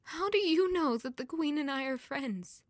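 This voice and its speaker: A woman's voice: high-pitched, lively intonation, normal speed, dry recording, clean studio sound. An actress playing a fragile young servant woman, grieving and full of self-doubt, in an emotional audio drama. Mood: hesitant, questioning.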